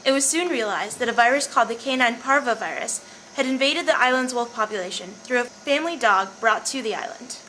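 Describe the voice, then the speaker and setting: A woman's voice: on the high side, brisk, sharp tone. A woman reading a scripted informational narration. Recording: noisy amateur recording with clear background noise.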